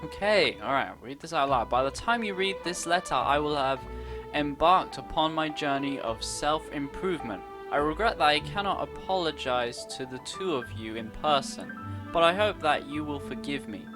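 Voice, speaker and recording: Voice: mid-range voice, expressive, moderate pace. A gaming streamer giving casual, playful commentary with sarcastic asides and reading game text aloud. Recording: game music clearly behind the voice.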